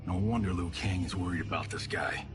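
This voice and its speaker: An actor playing a cocky, wisecracking movie-star hero in a video game, with an American accent and a flippant, sardonic delivery. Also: male voice, low-pitched, brisk, close to an everyday voice. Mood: wry. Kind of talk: video game cutscene acting. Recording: music behind the voice. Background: music.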